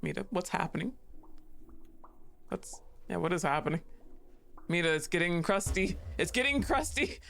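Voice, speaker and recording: A young woman with a low, dark-toned voice chats through a game stream. She is playful, laughs a lot and swears freely. Her talk is loose and casual. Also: animated, normal speed, vocal fry, long pauses.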